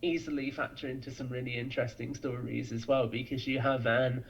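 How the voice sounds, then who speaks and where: A man's voice: mid-range voice, flat delivery, brisk, gravelly. A man speaking as a podcast guest in casual fan discussion, earnest and eager as he speculates, on a dull, lo-fi recording.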